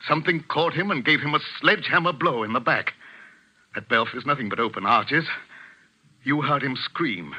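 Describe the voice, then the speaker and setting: A man's voice: mid-range voice, moderate pace, pushed and bright, slightly rough. An actor playing an authoritative, skeptical middle-aged clergyman and father, formal and commanding in 1940s radio style. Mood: shaken, urgent. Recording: muffled vintage radio recording.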